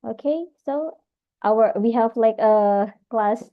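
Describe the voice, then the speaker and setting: A woman with an Indonesian accent hosting an online class informally on a video call, halting as she searches for words. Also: on the high side. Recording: dull lo-fi video-call audio.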